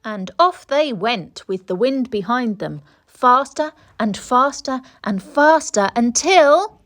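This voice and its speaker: A woman reading a children's story aloud in an expressive storytelling style. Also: on the high side, slow pace. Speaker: storybook reader.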